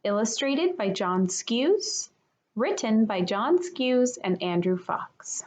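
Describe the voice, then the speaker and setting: A woman reads a picture book aloud for young children. Her voice sits fairly high and swings widely in pitch, with an unhurried, expressive teacherly delivery. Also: slight background noise. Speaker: kindergarten teacher reading aloud.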